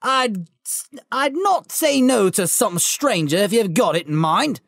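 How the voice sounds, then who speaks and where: A hulking, shaggy giant of a man with a gruff heart booms out his rustic speech, as a woman puts him on. The voice sits well above the reader's own low tone and swings widely. It is a bit husky, and the room sounds dry. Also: animated, measured pace, no echo. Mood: friendly. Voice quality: loud, booming, rustic speech.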